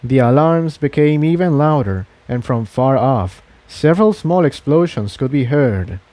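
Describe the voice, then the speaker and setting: A man's voice: medium pitch, lively intonation, unhurried, mellow, dry recording. A man reading an adventure story as a dramatic audiobook narration. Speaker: fan fiction narrator.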